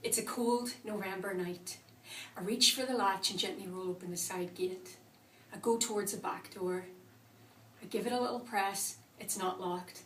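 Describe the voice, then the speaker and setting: A woman playing a vengeful, traumatised woman in a confessional monologue, speaking deliberately with dramatic pauses. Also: medium pitch, fairly bright tone, a little hiss or hum, natural voice. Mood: tense, controlled. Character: vengeful, traumatised woman recounting a violent night. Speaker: actor performing a monologue. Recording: echoey room, distant microphone.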